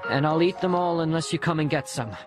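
An earnest young boy, put on by a male narrator in an audiobook. The voice is raised in pitch, childlike and stubbornly insistent, with faint music behind. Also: quick pace, close to an everyday voice. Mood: coaxing, teasing. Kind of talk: audiobook character voice.

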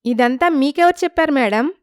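A woman's voice: high voice, lively intonation, fairly quick, darkish tone, no echo, studio quality, voice pushed up. A woman voicing a naive village wife in a moral story, wronged and indignant, pleading and questioning. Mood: puzzled, polite. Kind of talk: dramatized moral story dialogue.

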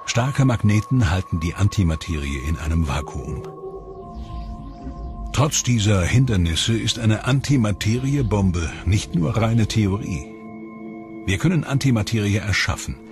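A man narrating a science documentary in a calm, measured, scripted delivery. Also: on the low side. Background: music.

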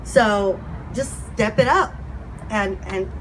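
A woman's voice: mid-range voice, slow pace, fairly bright tone, low-quality recording. Impassioned woman at outdoor mic. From California. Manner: candid, impassioned, self-deprecating. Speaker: community speaker. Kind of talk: informal speech to a crowd. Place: outdoor patio event, crowd standing around. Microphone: handheld mic. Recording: outdoor event with crowd noise behind.

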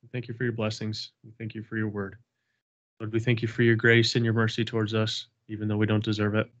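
A man with a slight cold leads a group over a video call, his voice low-pitched and steady with little rise and fall. He prays in an earnest, repeating cadence, then talks casually. The call audio sounds dull and muffled. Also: fast talker. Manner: earnest, repetitive prayer cadence. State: has a cold, sniffling. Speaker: Bible study leader. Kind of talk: prayer and casual group talk.

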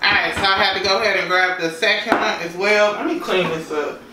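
A woman's voice: medium pitch, fairly quick, heavy background noise. A woman in her thirties, chatting casually as she cooks at home. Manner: chatty, relaxed, folksy phrases. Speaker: home cooking vlogger. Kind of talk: casual cooking demo. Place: home kitchen, indoors. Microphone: far camera mic. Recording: roomy kitchen, distant mic, clattering dishes.

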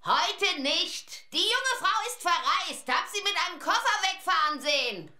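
A woman playing a nosy, combative neighbour in a children's radio play, blunt and shrill. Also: lively intonation, unhurried, bright, forceful tone, echoey room, exaggerated voice. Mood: nosy, brisk.

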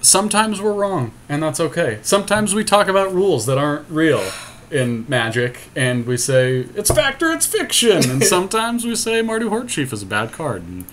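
A man giving casual game commentary with a friend, teasing and thinking his moves out loud. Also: fairly high pitch, big pitch swings, normal speed, a little hiss or hum. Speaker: podcast host playing an online card game.

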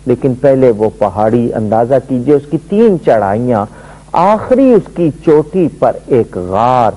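A middle-aged man and religious scholar giving a devotional lecture on a television studio set, telling a story emphatically with his voice swooping high and low. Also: dark tone, poor audio.

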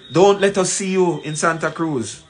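A man in his forties with a Jamaican accent gives an emphatic, deliberate address to camera, repeating phrases for weight. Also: on the high side. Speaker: community leader. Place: small plain room indoors. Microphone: phone at arm's length. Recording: noisy room, ambient background sound.

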